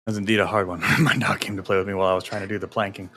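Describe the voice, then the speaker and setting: A man's voice: low voice, little pitch movement, very fast, over a noisy crowd. A young adult man chatting casually on a game stream, explaining workouts in a coaching way, often restarting his sentences.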